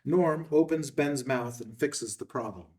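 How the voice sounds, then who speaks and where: A man with a medium-pitched voice reads out stage directions as a narrator. It is scripted screenplay narration, picked up from some way off the microphone. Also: a little slow, a little mellow. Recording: distant microphone.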